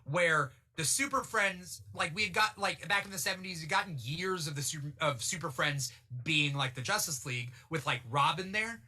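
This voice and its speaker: A man's voice: fairly high pitch, moderate pace, few pauses, bright, forceful tone. A man hosting a casual, chatty panel discussion about comic book films. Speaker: show host.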